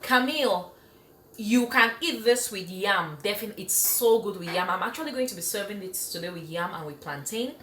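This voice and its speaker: A woman's voice: mid-range voice, expressive, a little fast, fairly bright tone, reverberant, slight background noise. A woman from Cameroon hosting a live cooking stream in her home kitchen, chatty and enthusiastic, laughing often as she reads out viewer comments. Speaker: cooking show host.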